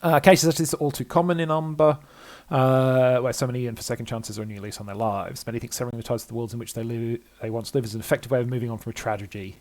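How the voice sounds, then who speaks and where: A man's voice: medium pitch, steady tone, quick pace, hardly any pauses, darkish tone. An older man doing gameplay commentary, reading story text aloud with hesitations and small asides.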